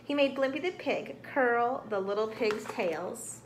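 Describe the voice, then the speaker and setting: A woman's voice: on the high side, expressive, unhurried. A middle-aged woman reading a storybook aloud to children expressively, recorded in a small room. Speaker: storybook reader. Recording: roomy, some background noise.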